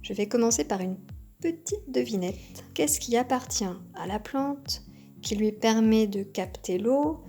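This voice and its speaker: A woman teaching a kindergarten class by video, speaking gently and articulating clearly. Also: on the high side, expressive, a little slow, faint music behind. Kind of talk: teaching young children by video.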